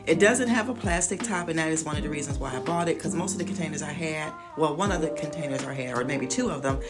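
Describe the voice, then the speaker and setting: A woman's voice: low voice, fast talker, loud music behind the voice. A woman in her fifties chatting folksily to viewers on a handheld phone while shopping and sitting in her car. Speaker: vlogger. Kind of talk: casual shopping vlog. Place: store aisles and parked car. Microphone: handheld phone camera.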